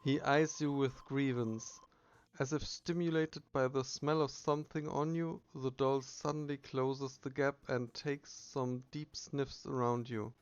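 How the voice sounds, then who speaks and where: A man narrating a video game playthrough, reading the text aloud with casual asides and halting self-corrections. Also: medium pitch, fairly even intonation, clear, resonant tone, dull audio.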